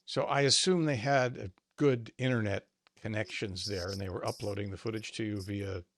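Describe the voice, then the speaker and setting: A man interviews a filmmaker. He asks long, winding questions in a gravelly voice. The sound is dry and close. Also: lowish voice, fairly even intonation, moderate pace, no echo.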